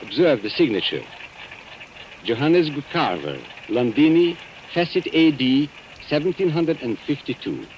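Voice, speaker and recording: An actor playing a suave, cynical antique dealer and blackmailer, formal and mockingly courteous, in old time radio suspense style. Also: male voice, fairly high pitch, sing-song, measured pace, muffled recording. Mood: suave, amused. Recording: noisy vintage radio recording.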